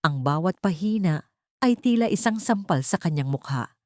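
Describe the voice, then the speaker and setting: A woman narrates a scripted drama in a low voice with an animated melody. The sound is dry and close, suited to an audio story. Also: moderate pace, no echo.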